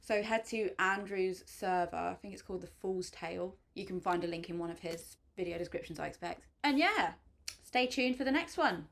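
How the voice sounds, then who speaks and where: A woman's voice: fairly low pitch, moderate pace, vocal fry, a bit bright. A woman hosting a book channel, giving a gushing, chatty spoken review with frequent ums and likes. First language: English.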